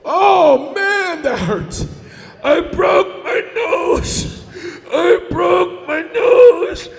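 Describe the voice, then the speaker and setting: Guy doing a big bully giant in a live folk story, high pitched n blustery, far from the mic in a hall. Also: big pitch swings, normal speed, vocal fry, heavily acted. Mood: pained whimpering. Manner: blustering, emphatic. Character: boastful, mean giant with magic, easily enraged, later whimpering.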